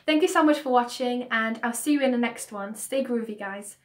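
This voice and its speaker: A young woman, her voice croaky from hay fever, talking to camera in a casual, earnest vlog about her reasons. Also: a little high, fairly quick. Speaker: beauty vlogger.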